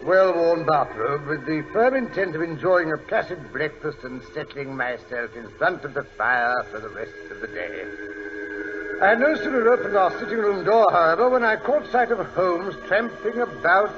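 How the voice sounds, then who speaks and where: A dull old radio recording of a genial British doctor sidekick. His voice sits quite high, and he blusters and hesitates in a chatty, bumbling way. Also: sing-song, normal speed, music in the background. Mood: reminiscing. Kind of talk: 1940s radio acting.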